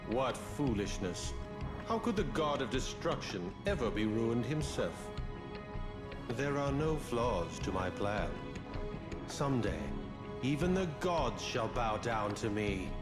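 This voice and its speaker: An actor playing a haughty, scheming villain in a video game, formal and imperious, with music behind him. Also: male voice, mid-range voice, big pitch swings, slow. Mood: scornful, grandiose. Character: haughty, scheming male villain seeking godhood. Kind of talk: theatrical video game cutscene acting.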